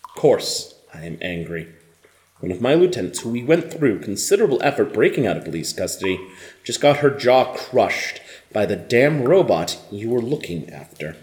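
An actor playing a stern, battle-hardened rebel commander in an audio drama, measured and commanding, with simmering anger held under control. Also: male voice, mid-range voice, big pitch swings, darkish tone, lightly acted. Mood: controlled anger.

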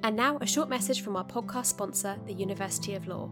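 Female announcer over loud guitar music. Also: mid-range voice, fast talker. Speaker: sponsor message announcer. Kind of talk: scripted advertisement narration.

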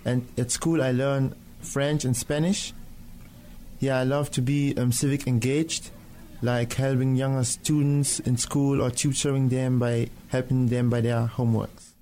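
A man's voice: medium pitch, flat delivery, a little slow, warm tone, slight background noise. A teenage boy from Germany whose first language is Yoruba, introducing himself in a friendly, plain way. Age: teens. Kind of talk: self-introduction.

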